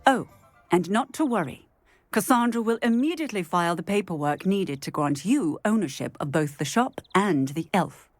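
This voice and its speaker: A woman playing a composed female wizarding police officer in a video game, measured and formal in manner. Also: fairly low pitch, expressive, dry recording, soft background music. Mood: firm, dry. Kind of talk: video game character acting.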